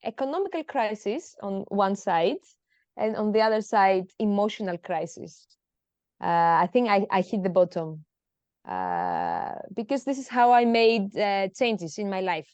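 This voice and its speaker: A woman's voice: medium pitch, darkish tone, smooth, dry recording, muffled recording. A woman in her thirties from Greece, speaking as a podcast guest in a hesitant, emotional way with many fillers. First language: Greek. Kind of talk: personal interview.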